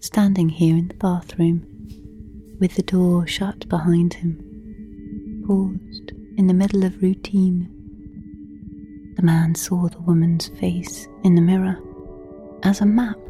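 Female narrator, intimate literary audio piece. Mellow, slightly low voice, hushed and measured. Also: fairly even intonation, faint music behind. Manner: slow, hushed, measured delivery, often near whispering. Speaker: narrator and performer of an audio playlet.

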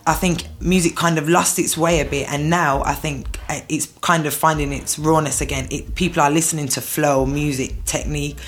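A woman's voice: deep voice, steady tone, normal speed, few pauses, slight background noise. A woman who raps, answering interview questions in a frank, defiant, casual way. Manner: frank, defiant, laughs easily. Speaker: rapper being interviewed.

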